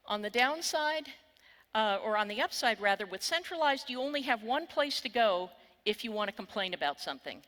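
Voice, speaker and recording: Texan woman, bright voice in a big hall. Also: fairly high pitch, animated, a little fast. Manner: wry, candid, swears casually. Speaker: keynote speaker. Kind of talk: conference keynote talk. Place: conference hall on stage. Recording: long reverb of a large hall.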